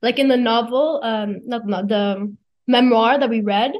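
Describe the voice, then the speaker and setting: A teenage young woman with a fairly high voice speaks as a student being interviewed. Her answers are informal and plain-spoken, with casual wording. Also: unhurried, highs cut off. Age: teens, high school senior.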